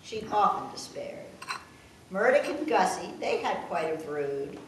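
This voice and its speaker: An older woman reading a rhyming tribute poem aloud with a measured, sing-song delivery. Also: on the low side, big pitch swings. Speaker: family member reading her own poem. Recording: echoey room, distant mic, faint noise.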